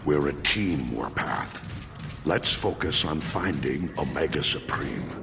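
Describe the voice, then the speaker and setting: An actor playing a noble, steadfast robot commander in a video game, speaking with earnest authority. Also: male voice, extremely low pitch, lively intonation, takes it slowly, gravelly, very noisy, exaggerated voice. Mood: calm, commanding. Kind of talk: dramatic video game voice acting. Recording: voice under loud music and machinery.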